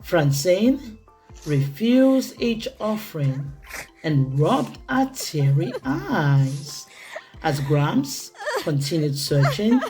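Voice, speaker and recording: A woman narrates a picture book for small children over music. She takes it very slowly, with theatrical, deliberate phrasing and lively swings in pitch. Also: poor audio. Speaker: children's storytime reader. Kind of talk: expressive read-aloud picture-book narration.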